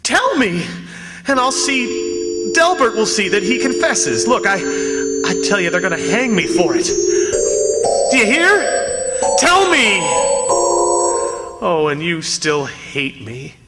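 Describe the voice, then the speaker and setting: A man's voice: high voice, very animated, takes it slowly, pauses often, exaggerated voice. An actor playing a condemned living man among the dead, agitated, pleading and stammering in a theatrical radio drama style. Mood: desperate pleading. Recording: long hall reverb, loud music behind.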